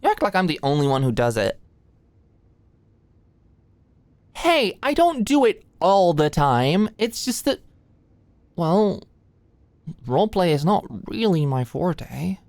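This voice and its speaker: A woman's voice: lowish voice, very animated, a little slow, a little mellow, no echo. A young woman playing an anxious, friendly medical student in an ASMR roleplay, chatty and hesitant, easily flustered. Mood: teasing, defensive. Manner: hesitant, chatty, nervous, conversational. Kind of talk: ASMR roleplay, acted casual dialogue.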